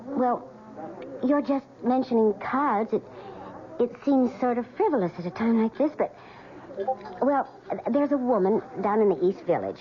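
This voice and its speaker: A gossipy, scatterbrained best friend, played by a woman, hesitant and talkative. Her voice sits a little high. The old radio drama recording has a dull, lo-fi sound. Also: lively intonation, moderate pace, lightly acted. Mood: chatty. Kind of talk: 1970s radio drama acting.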